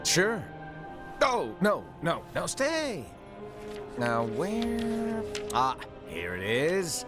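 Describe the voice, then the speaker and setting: An actor playing an eccentric, playful master in an animated game cutscene, with a theatrical, teasing manner. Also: male voice, fairly high pitch, exaggerated voice. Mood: playful, instructive. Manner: whimsical, teasing, drawn-out musing. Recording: music behind the voice.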